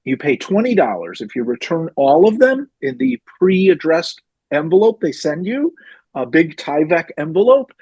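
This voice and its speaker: A man's voice: on the high side, very animated, moderate pace, darkish tone, breathy edge, no room sound, highs cut off. A man hosting a casual roundtable, explaining things with lots of "uh" and "right?" checks. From North America. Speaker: host.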